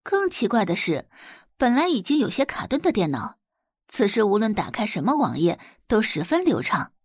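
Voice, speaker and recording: Animated woman narrating, slightly husky. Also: mid-range voice, normal speed, no echo, studio quality. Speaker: audio drama narrator. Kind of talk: scripted, dramatic story narration.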